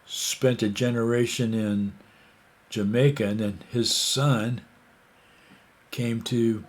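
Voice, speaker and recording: An American man talks in an unhurried, rambling way, stopping often for fillers and restarts. His tone is indignant as he comments on the news, and his slow delivery lets each point drag out. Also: mid-range voice, fairly even intonation. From United States. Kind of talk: casual opinion monologue.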